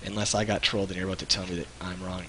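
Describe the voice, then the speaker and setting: A man's voice: low voice, flat delivery, racing, sharp tone, a little hiss or hum, low-quality recording. A man co-hosting a live stream in casual, jokey chat, rambling and searching for words. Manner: rambling, loose, jokey, hesitant.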